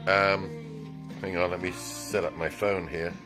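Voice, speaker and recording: Male repair tech, low register, informal and wry, unscripted demo, music in the background. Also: flat delivery, takes it slowly. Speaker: radio repair technician demonstrating a converted car radio. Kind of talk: casual unscripted product demonstration.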